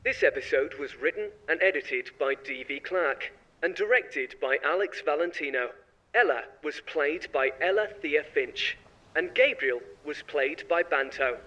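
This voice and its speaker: A man's voice: on the high side, animated, a little slow, pushed and bright. A man reading a scripted announcement as a podcast announcer, with a slightly dull, radio-like sound.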